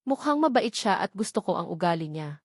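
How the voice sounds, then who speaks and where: A woman's voice: lowish voice, big pitch swings, a little fast, husky, no echo, studio quality. A woman with a Filipino accent reading a first-person romance novel as an audiobook narrator.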